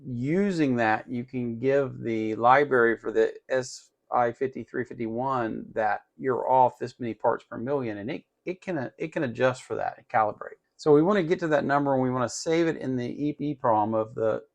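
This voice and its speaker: A man's voice: medium pitch, normal speed, creaky, few pauses, muffled recording. A man hosting an electronics tutorial in a casual, unscripted way, explaining step by step as he works and often repeating himself.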